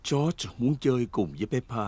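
A man's voice: medium pitch, moderate pace, slightly rough, dry recording. A man narrating a children's cartoon as a cheerful, explanatory storyteller. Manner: explanatory, animated. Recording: loud music under the narration.

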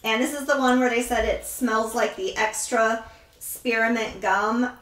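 A middle-aged woman with a slightly high voice chats casually on a family vlog. She talks slowly, and she sounds some way from the microphone in a roomy bedroom. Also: steady tone, a bit bright. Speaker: mother helping on her child's video channel. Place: bedroom, off camera. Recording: roomy, distant mic, background noise.

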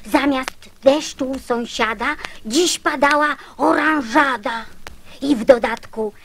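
A woman playing an excitable young girl telling outrageous tall tales in a radio verse drama. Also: high-pitched, takes it slowly, slight background noise, heavily acted. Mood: excited, insistent.

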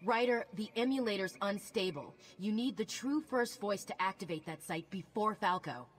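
An actress playing a brisk, urgent, plain-spoken human ally in a video game. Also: female voice, medium pitch, husky, close to an everyday voice. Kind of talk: video game dialogue acting.

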